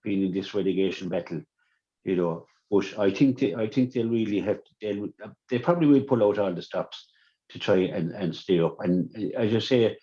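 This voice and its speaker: A man's voice: fairly low pitch, moderate pace, mellow. A man with an Irish accent giving sports analysis on the radio, repeating words and saying "you know" often. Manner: repetitive, many "you know" fillers. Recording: dull lo-fi sound.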